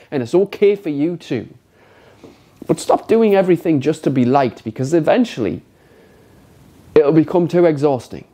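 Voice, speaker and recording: A man's voice: a little high, mellow. A man in his thirties giving an unscripted, motivational talk to the camera with a congested, stuffy nose, speaking frankly and emphatically and swearing often. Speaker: motivational vlogger. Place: indoor studio with brick wall.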